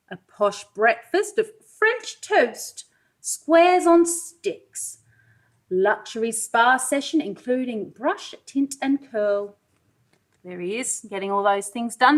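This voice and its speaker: A woman with a fairly high voice reads a picture book to small children. She takes it very slowly, in an expressive, sing-song way, and puts questions to her listeners as a pre-school teacher would. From Wales. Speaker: pre-school teacher reading a storybook aloud. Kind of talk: children's read-aloud with asides to young listeners.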